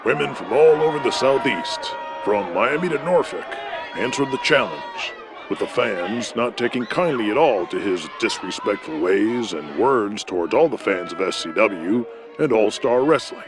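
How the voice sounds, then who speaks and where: A man's voice: lowish voice, expressive, moderate pace, over music. An actor playing an emphatic 1980s male TV wrestling announcer doing folksy play-by-play in an audio drama, with noisy arena ambience. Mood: measured recap. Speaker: wrestling commentator.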